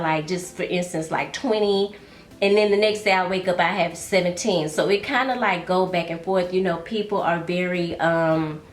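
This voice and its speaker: A woman vlogger chatting and confiding as she does her makeup at home, calling viewers baby and girl, sounding a little congested and nasal. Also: medium pitch. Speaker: beauty and fragrance vlogger. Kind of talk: casual get-ready-with-me chat. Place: room at home, doing makeup. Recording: echoey room with faint music.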